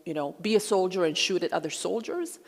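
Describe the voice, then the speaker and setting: Gravelly-voiced woman lecturing in a hall. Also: lowish voice. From Croatia. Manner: measured, with frequent ums and uhs. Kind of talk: public lecture. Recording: long hall reverb.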